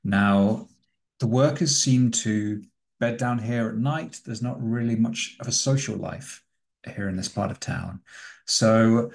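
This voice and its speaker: A prim doctor in a tabletop game, acted by a man, formal and fussily courteous. He sounds anxious and exasperated beneath the politeness, in a fairly low male voice. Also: creaky, a little mellow.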